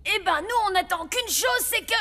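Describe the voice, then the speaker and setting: Petit garçon zombie, voix très aiguë. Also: female voice, very high voice, lively intonation, moderate pace, sharp tone, exaggerated voice. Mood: scheming. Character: anxious, scheming young zombie boy.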